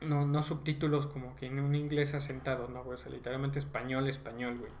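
A man's voice: mid-range voice, flat delivery, quick pace, slight background noise. A young man with a Mexican accent chatting casually as a podcast host about video games, using slang and frequent swearing.

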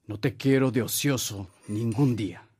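An actor playing a stern, practical older father with a Mexican accent and a husky voice, speaking firmly in a radio drama. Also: medium pitch, darkish tone, natural voice. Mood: stern, questioning. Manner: measured, firm.

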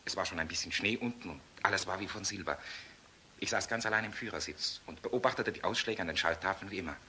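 Deep-voiced, weary pilot husband. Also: male voice, pushed and bright, slight background noise. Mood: troubled, reflective. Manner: subdued, tender, halting. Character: weary, gentle, earnest young fighter pilot husband. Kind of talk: intimate radio play acting.

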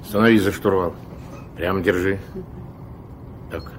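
An actor in a Soviet radio drama playing a gruff, commanding old riverboat captain who gives curt orders in folksy speech. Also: male voice, low-pitched, steady tone, takes it slowly. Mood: steady, gruff. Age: older. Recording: noisy 1970s radio play recording.

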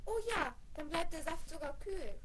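A bubbly little mountain girl with a very high voice. She is eager and a bit bossy, urging everyone on in vintage children's radio play style. Loud vinyl noise and clicks surround the voice. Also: normal speed. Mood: eager, impatient. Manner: excited, urging. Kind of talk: 1970s German children's radio play acting. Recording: very noisy 1970s vinyl rip with clicks.

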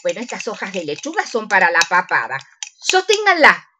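A woman's voice: mid-range voice, huge pitch swings, brisk, noisy background. A woman playing a prim, meek older widow who fusses and coaxes, with an Argentine accent, in a radio theatre comedy. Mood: fussing, coaxing. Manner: coaxing, chatty, fond of diminutives.

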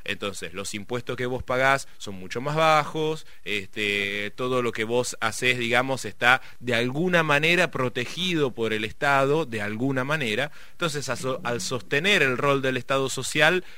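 A man's voice: medium pitch, moderate pace, few pauses, sharp tone, no room sound. A middle-aged man with a Rioplatense Argentine accent explaining economics in casual conversation, rambling with many fillers and restarts. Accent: Rioplatense Spanish.

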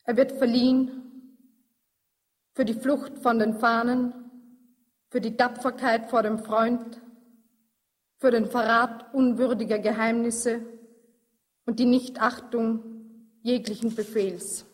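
Female reciter, slightly high and even in tone, solemn poetic delivery, reverberant sound. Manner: measured, grave, deliberate. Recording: long reverberant hall-like echo.

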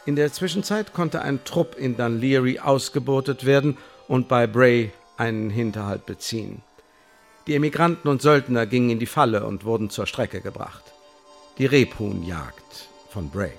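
A man playing a coolly bureaucratic secret service official in a radio play, speaking in a formal, measured, officious way. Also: medium pitch, vocal fry, soft background music, natural voice. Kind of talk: German radio play, report read aloud and interrogation.

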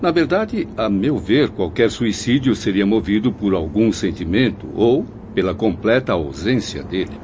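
An actor playing a pensive, questioning professor in a radio drama, measured and pedantic in delivery. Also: male voice, lowish voice, lively intonation, darkish tone, natural voice. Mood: pensive, regretful. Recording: dull lo-fi audio, clear background noise.

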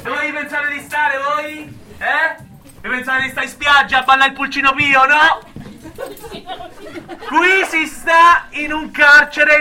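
A man acts out a bullying prison guard in a theatre piece, very high and piercing in voice. He drags out his barked, sarcastic orders, foul-mouthed and bossy, with faint music behind. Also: pushed and bright, a little hiss or hum, heavily acted, poor audio. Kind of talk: immersive stage acting.

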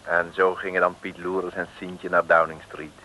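A man's voice: sits low, flat delivery, moderate pace, close to an everyday voice. A man narrating a comic radio serial from the 1960s in a wry storytelling manner. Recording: noisy old radio recording.

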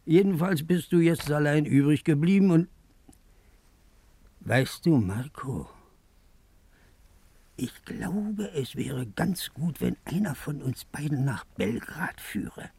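Creaky, wistful old townsman. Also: a little high, animated, mellow, no room sound, exaggerated voice. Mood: hesitant, wistful. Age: older. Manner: halting, wistful, coaxing. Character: frail, pleading old man of a port town, lame. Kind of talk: 1950s German radio play acting.

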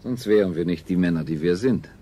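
A man's voice: sits low, brisk, mellow. An actor playing a proud, dutiful technologist and family man in a 1970s radio drama, with sober, stiffly sententious delivery. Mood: proud, superior. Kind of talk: 1970s German science fiction radio drama acting. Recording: faintly noisy 1970s radio recording.

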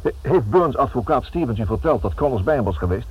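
An actor playing a flustered, defensive writer in a radio play, hesitant and repeating words, with a Netherlands Dutch accent. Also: male voice, medium pitch, expressive, quick pace, slightly rough. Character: flustered, defensive male writer questioned by police. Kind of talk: radio drama acting. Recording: dull lo-fi old radio recording.

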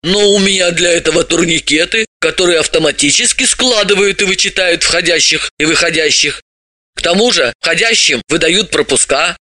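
A man's voice: on the high side, moderate pace, sharp tone, dry recording. A man voicing a good-natured woman company boss who is curious and easily surprised, in audiobook dialogue. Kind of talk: audiobook character voice.

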